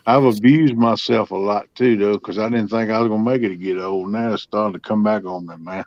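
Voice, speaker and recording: A man's voice: on the low side, steady tone, brisk, no room sound, muffled recording. A man in his late fifties chatting on a live stream panel, a folksy, easygoing storyteller. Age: 50s. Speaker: panel guest. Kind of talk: casual chat on a live stream.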